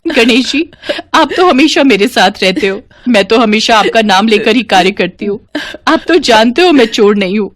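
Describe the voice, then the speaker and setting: A woman's voice: a little high, expressive, normal speed, no echo, studio quality. A woman voicing a devout, polite young working wife in a moral story. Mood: tearful pleading. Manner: polite, earnest. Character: devout, hardworking, earnest young wife and shop worker.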